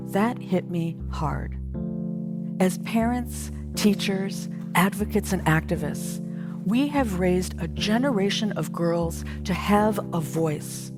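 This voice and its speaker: A woman delivers a prepared conference speech. She speaks slowly in a slightly low voice. Plucked guitar music runs clearly beneath her words. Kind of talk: rehearsed speech.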